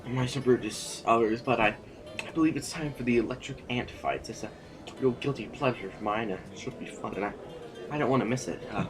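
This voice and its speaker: Man playing a halting, sheepish young heir. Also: medium pitch, music in the background, lightly acted. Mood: sheepish, eager. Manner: halting, apologetic, polite. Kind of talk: audio drama acting.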